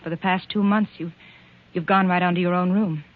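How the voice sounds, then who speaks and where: A woman's voice: lowish voice, steady tone, brisk, narrow, tinny audio, lightly acted. An actress playing a hurt, suspicious wife who accuses her husband, in 1940s radio drama style. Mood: wounded, probing. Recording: band-limited old 1940s radio recording.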